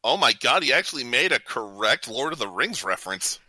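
A man's voice: medium pitch, big pitch swings, fairly quick, pushed and bright. A man co-hosting a casual podcast, geeky in manner, reading out matchups and bantering with his co-hosts. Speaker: podcast co-host. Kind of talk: casual bantering panel chat.

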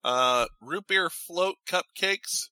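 A man's voice: high-pitched, takes it slowly, sharp tone, no echo, studio quality. A man co-hosting a casual group show, reading out choices and chatting between them. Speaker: co-host reading out debate choices.

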